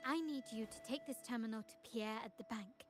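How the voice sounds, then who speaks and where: A woman plays a poised young heiress in a video game. Her voice sits a little high, and she speaks formally with calm resolve while game music runs underneath. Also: fairly quick, lightly acted. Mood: composed. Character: poised young noblewoman, family heir. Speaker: game character.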